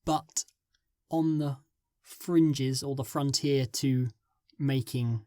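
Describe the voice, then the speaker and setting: A man, a script writer guest on a podcast, giving halting, fragmented answers. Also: medium pitch, fairly even intonation, warm tone, no room sound, studio quality.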